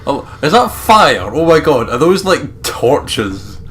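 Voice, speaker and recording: A man's voice: mid-range voice, very animated, slow, fairly bright tone, a little hiss or hum. A man giving casual, joking co-commentary on a game, swearing freely. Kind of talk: casual gaming banter.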